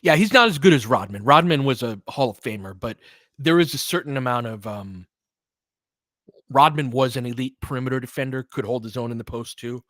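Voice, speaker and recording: A man's voice: mid-range voice, lively intonation, fairly quick, a bit bright, dry recording, studio quality. A man hosting a sports stream in a casual, conversational way, thinking aloud and qualifying his opinions as he answers listeners. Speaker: sports livestream host.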